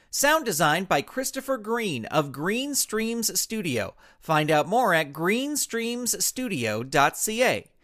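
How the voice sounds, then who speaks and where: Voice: mid-range voice, a bit bright. An American announcer reading scripted, upbeat credits for a children's audio show. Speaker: closing announcer.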